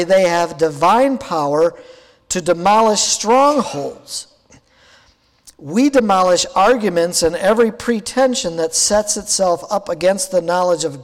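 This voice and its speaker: A male pastor with a croaky, hoarse voice, preaching a sermon in an easygoing, conversational way with plenty of fillers. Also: high-pitched, in a large hall, low-quality recording.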